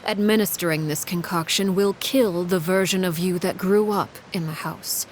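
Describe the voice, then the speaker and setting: A woman playing a cold, commanding leader known as a father figure, speaking in a deliberate, formal, controlled way in a video game. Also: on the low side, fairly even intonation, slight background noise, close to an everyday voice. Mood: cold, solemn.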